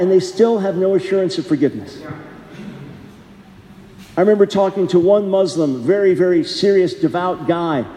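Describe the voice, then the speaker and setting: Man preacher, high mellow voice, earnest conversational sermon, roomy reverberant hall. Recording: echoey hall, long reverb.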